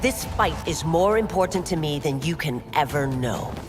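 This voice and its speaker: A woman's voice: sits low, animated, normal speed. An actress playing a determined young female constable and fighter in a video game cutscene, formal and resolute. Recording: music underneath.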